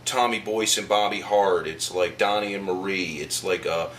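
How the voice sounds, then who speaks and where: A man answering documentary interview questions as a comic book artist, speaking in a halting but emphatic way. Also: low-pitched, steady tone, a bit bright. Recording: faint background noise.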